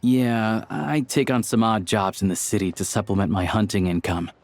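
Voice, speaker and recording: An actor voicing an easygoing, practical young hunter in a game dub, casual, friendly and thoughtful. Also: male voice, on the low side, steady tone, moderate pace, close to an everyday voice. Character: easygoing, practical young male hunter doing odd jobs. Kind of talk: English game dub dialogue.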